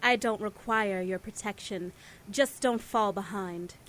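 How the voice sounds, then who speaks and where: An actress playing a proud, imperious superheroine who speaks formally and haughtily in an audio drama. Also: female voice, mid-range voice, unhurried, a bit bright, natural voice. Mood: haughty. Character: proud, imperious female weather-goddess superhero.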